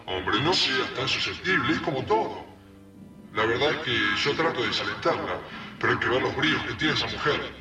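A man's voice: medium pitch, animated, normal speed, close to an everyday voice. Guy playing dead dad's ghost in a radio drama, casual n teasing, roomy far-off sound, music behind. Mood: teasing, impatient. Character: ghostly father speaking to his son, casual and teasing.

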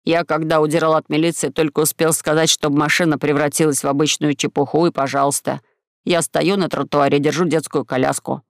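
Woman doing a lowered, blustery boyish pal. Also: flat delivery, fairly quick, dry recording, studio quality. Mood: impressed, explaining. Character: boy's excitable, protective friend, blustery and easily dizzied.

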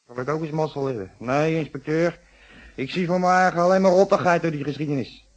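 A man acting a grumbling working-class fellow in a radio crime drama. His voice sits fairly high for a man, with slangy Netherlands Dutch and a brusque, defensive manner. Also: fairly quick, highs cut off, close to an everyday voice.

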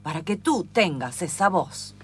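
A woman's voice: lowish voice, animated, a little slow, husky. A woman playing a theatrical witch who chants recipes and spells in improvised radio theatre. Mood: commanding, chanting. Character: old cackling witch brewing potions, chanting spells.

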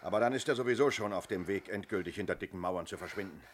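An actor playing a brash police inspector who gives orders and needles suspects mockingly in a 1970s radio play. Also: male voice, low-pitched, fast talker. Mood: sardonic, coaxing. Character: brash, sardonic male police inspector commanding an arrest.

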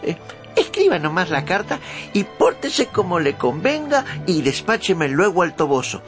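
An actor playing a humble, practical squire with a deferential, hesitant way of talking in a radio drama. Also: male voice, high-pitched, very animated, moderate pace. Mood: placating. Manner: deferential, stammering, plain-spoken. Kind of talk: Spanish-language radio drama acting. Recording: studio radio drama with background music.

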